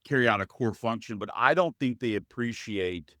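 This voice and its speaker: A man with a deep, loud voice, speaking as a guest in an interview in an earnest, measured way and often addressing the host by name. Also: dry recording, clean studio sound. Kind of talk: interview conversation.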